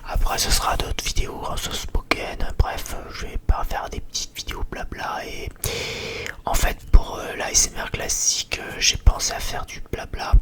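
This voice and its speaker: A man gives a whispered ASMR chat in a halting, rambling and hesitant way. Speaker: ASMR video creator.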